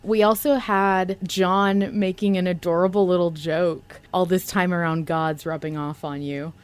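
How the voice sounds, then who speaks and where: A woman's voice: on the low side, fairly even intonation, unhurried, smooth. Enthusiastic woman in casual podcast chat. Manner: informal, enthusiastic, sweary. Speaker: podcast co-host. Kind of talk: casual podcast chat about an audio drama.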